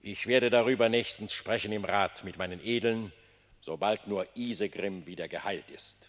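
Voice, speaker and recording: A man's voice: on the low side, normal speed, natural voice. An actor playing a solemn, gracious animal king, speaking stately, formal verse in a classic German radio play. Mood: solemn, proclaiming. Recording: long hall-like reverb on voice.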